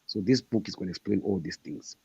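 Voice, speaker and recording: Zimbabwean guy in his 30s, low gravelly voice, earnest, keeps repeating himself. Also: a little fast, dark tone, no room sound, muffled recording. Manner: earnest, emphatic, repeats points. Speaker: author guest. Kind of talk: interview answers.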